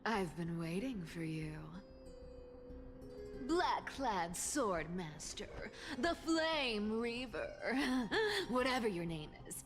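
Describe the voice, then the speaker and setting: Sly, slow-drawling, playful assassin woman. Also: medium pitch, big pitch swings, soft background music. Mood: taunting. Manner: breathy, teasing, drawling with amused chuckles. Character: sly, playful female assassin, teasing and coolly menacing. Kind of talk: English game voice acting, theatrical monologue.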